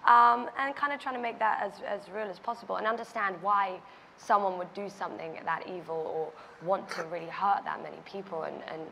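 A woman's voice: medium pitch, fairly quick, vocal fry, bright, forceful tone, long reverb tail, a little hiss or hum. A young woman on a press panel, talking in a loose, chatty way full of likes and ums. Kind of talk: panel answer. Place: stage of a large event hall.

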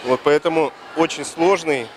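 A man in his forties, a sports official, giving a halting, formal interview answer. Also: on the high side, a crowd in the background. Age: 40s. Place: large indoor sports hall. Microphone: handheld reporter microphone. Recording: loud busy sports hall noise.

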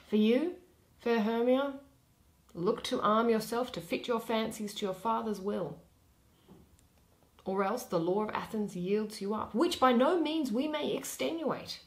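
Slow, theatrical young woman reading Shakespeare. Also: on the high side, darkish tone. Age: young adult. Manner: theatrical, deliberate phrasing with pauses. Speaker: reader. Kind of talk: expressive dramatic reading of a Shakespeare play.

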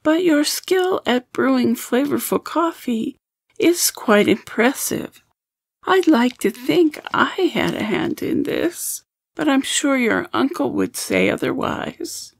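A woman acts out a blunt, fond old woman for an audio drama. Her voice is creaky and unhurried, recorded dry. She grumbles and runs herself down as she talks. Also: high voice, animated, dry recording, exaggerated voice. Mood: dryly teasing.

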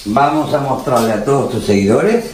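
A man's voice: mid-range voice, moderate pace, a little mellow, a little hiss or hum. A middle-aged man, a dentist teasing and reassuring his daughter. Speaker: dentist, her father. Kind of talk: casual explaining to a patient. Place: small dental clinic room. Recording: roomy clinic with music behind.

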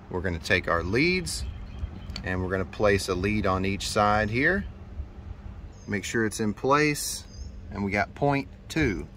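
A man's voice: fairly low pitch, a bit bright. A man in his thirties with an American accent, explaining things casually and upbeat as a technician hosting an instructional vlog. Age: 30s. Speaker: HVAC technician and video host. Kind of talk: informal instructional vlog to camera. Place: outdoors by a building and in utility spaces. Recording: clear background noise, outdoors and utility room.

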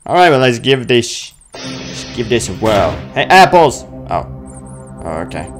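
A man in his twenties doing casual, excitable gameplay commentary full of exclamations and mild crude language. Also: mid-range voice, unhurried, music in the background. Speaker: gaming video host.

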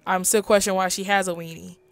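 A woman's voice: medium pitch, flat delivery, fast, heavy background noise. A woman co-hosting a let's play, chatting casually about the game. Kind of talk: casual gaming chat.

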